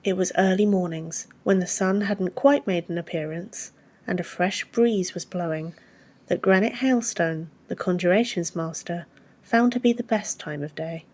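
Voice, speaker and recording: Woman narrating fiction, lowish voice. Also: normal speed, a little mellow. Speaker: audiobook narrator. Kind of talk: scripted story narration.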